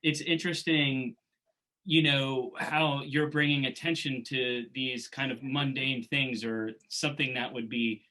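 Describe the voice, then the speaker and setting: A man's voice: mid-range voice, fairly even intonation, measured pace, pushed and bright, poor audio. A man hosting an interview, asking long, rambling questions with frequent restarts. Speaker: interview host.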